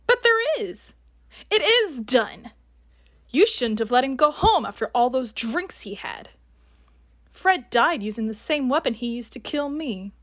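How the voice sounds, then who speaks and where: A woman's voice: high voice, no echo, heavily acted. A woman playing the vengeful ghost of a young woman in a horror radio drama, accusing and menacing. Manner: accusing, menacing, hushed at times.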